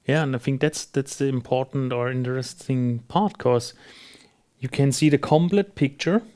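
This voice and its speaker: Non guy chatting on a business podcast, mid pitch, agreeable, kinda halting while he finds words. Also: darkish tone. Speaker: podcast host. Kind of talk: conversational business podcast discussion.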